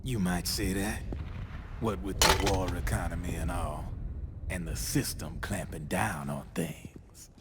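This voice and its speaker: Slick, low-voiced, unhurried arms dealer. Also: male voice, fairly even intonation, background noise. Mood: matter-of-fact, knowing. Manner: slangy, easygoing sales patter. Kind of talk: video game cutscene acting. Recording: background music under the voice.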